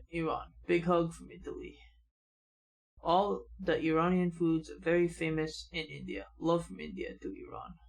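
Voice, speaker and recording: Young man, gravelly voice, slightly high, casual comment reading, quick asides. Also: low-quality recording. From United States. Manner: casual, reads comments then adds quick remarks. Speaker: reaction channel host. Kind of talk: reading viewer comments aloud.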